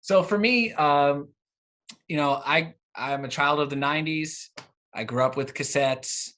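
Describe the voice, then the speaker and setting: Man, thirties, interview guest, bright clear-cut tone, casual, hesitant and rambling delivery. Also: a little high, unhurried. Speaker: interview guest, cassette exchange organiser.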